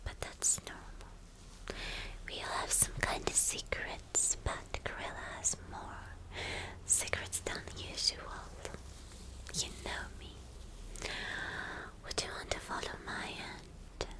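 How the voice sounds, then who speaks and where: Villainess girlfriend, played by a woman, ASMR roleplay: whispered, very unhurried, spoiled, cruel, possessive, coaxing yet bossy. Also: lightly acted, poor audio. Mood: teasing, commanding. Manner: coaxing, domineering, slow and teasing endearments.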